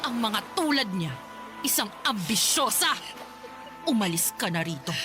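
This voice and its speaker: A woman's voice: mid-range voice, sing-song, measured pace. A woman playing a haughty, scornful rich mother who bosses people around in a Filipino radio drama. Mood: furious, scornful. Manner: scornful, commanding, melodramatic. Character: haughty, domineering rich mother scorning her son's love. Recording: music bed under dialogue.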